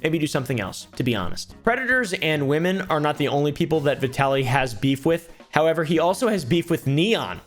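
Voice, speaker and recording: A man's voice: on the high side, big pitch swings, a little fast, few pauses, faint music behind. A young man doing dry, sarcastic commentary on online streamer drama, swearing freely. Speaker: commentary YouTuber.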